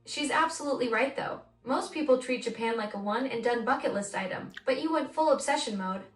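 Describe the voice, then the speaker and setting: A woman's voice: on the high side, a little fast, distant mic, soft background music. A synthetic woman's voice acting as an AI assistant in casual conversation, eager and full of praise. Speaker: AI voice assistant co-host. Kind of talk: conversational chat. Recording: roomy voice from off the mic.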